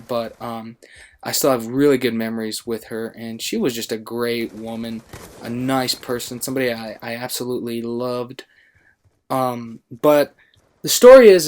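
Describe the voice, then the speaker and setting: An American man with a mid-pitched voice tells a story casually on his gaming channel. He rambles along, breaking off and picking his thread back up. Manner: rambling, self-interrupting. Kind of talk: casual storytelling over gameplay.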